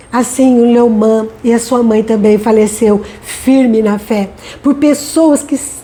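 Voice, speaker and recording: A woman's voice: high voice, warm tone. An older Brazilian woman sharing a church devotional in a conversational way, often adding tag questions. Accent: Brazilian Portuguese. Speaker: church teacher. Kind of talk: devotional talk.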